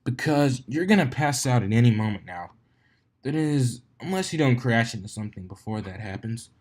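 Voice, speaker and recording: A man's voice: mid-range voice, expressive, normal speed, a little mellow, close to an everyday voice. A man voicing a cocky young male pegasus stunt flyer from a story, with a casual, teasing manner.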